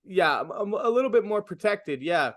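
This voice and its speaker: A man's voice: sits high, animated, normal speed, a bit bright, no room sound, studio quality. A young adult man, a comedian, talking reflectively in a casual interview, with lots of fillers and restarts.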